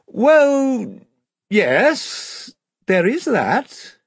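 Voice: medium pitch, huge pitch swings, very slow, creaky, husky, no echo, clean studio sound, voice pushed up, full character voice. A reader voicing a proud young king who speaks in a regal, commanding way. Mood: indignant.

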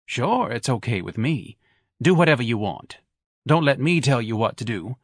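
A man's voice: medium pitch, sing-song, moderate pace, slightly rough, no echo, studio quality. A man doing a sarcastic nagging wife. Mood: needling. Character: needling wealthy middle-aged wife, nagging.

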